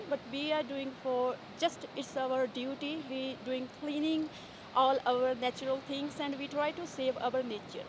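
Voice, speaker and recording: Woman, high voice, hesitant with fillers, beach clean volunteer, noisy outdoor background.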